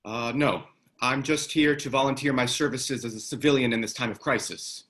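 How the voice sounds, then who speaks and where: A man's voice: medium pitch, normal speed, fairly bright tone, natural voice. A man playing a rugged, laid-back man in his thirties in a screenplay table read, wry and casual.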